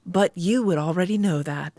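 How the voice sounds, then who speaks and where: A woman playing an aloof, guarded royal she-wolf who speaks in a measured, formal way in a visual novel dub. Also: fairly low pitch, dark tone, no echo, clean studio sound, lightly acted. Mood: curt, sincere.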